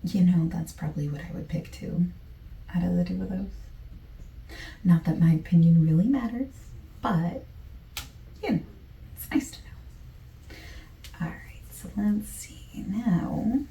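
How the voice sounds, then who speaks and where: A woman playing a friendly, easygoing survey taker in a softspoken, partly whispered ASMR roleplay, with gentle, chatty asides. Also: on the low side, measured pace, long pauses, roomy sound, distant mic, rough recording. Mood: chatty, amused. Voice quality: soft, breathy, partly whispered.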